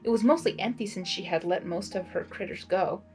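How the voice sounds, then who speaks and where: A woman reading a story aloud as a host, with an excitable, gushing, expressive delivery. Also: mid-range voice, fairly quick, faint music behind. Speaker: fan fiction reader and channel host.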